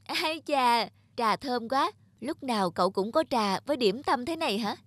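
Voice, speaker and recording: Loyal, theatrical lanky demon. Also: male voice, extremely high pitch, expressive, normal speed, fairly bright tone, no echo. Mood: reassuring. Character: loyal self-sacrificing lanky blue demon friend, male. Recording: music under the dialogue.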